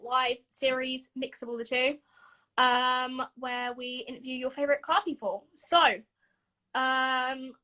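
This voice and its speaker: High bright-voiced chatty woman. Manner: chatty, giggly, lots of filler ums. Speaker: podcast host. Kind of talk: casual live-stream interview. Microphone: video call.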